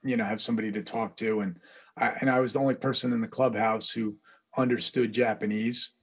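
Relaxed rambling man telling stories. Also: on the low side, fairly quick, breathy edge. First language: English. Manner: relaxed, rambling, laughs often. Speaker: guest, baseball interpreter. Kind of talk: casual storytelling interview.

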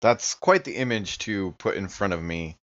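A man's voice: low-pitched, lively intonation, normal speed, fairly bright tone. A man playing a tabletop game, talking casually and partly in character, on slightly dull audio.

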